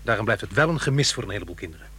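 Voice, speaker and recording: A man's voice: on the low side, animated, very fast, a bit bright, a little hiss or hum. A man playing a troubled, brooding divorced father in a radio drama, earnest and hesitant. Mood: worried, pleading. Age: middle-aged.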